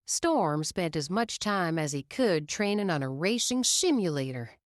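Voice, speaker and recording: A woman reading a children's picture book aloud in a slow, expressive storytelling manner. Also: on the low side, no echo, studio quality.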